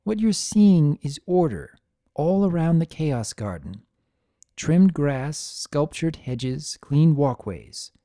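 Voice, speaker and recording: A performer voicing an older mare pony, a patient mother who explains things calmly and sensibly in a storybook reading. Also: medium pitch, slow, dry recording, studio quality, voice pushed up. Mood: explaining. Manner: calm, sensible, gently teaching.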